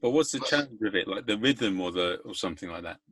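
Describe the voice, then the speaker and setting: A man's voice: on the low side, expressive, fast talker, a bit bright, slightly rough, dry recording. A man hosting a podcast, asking curious follow-up questions in a casual interview chat. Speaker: podcast host.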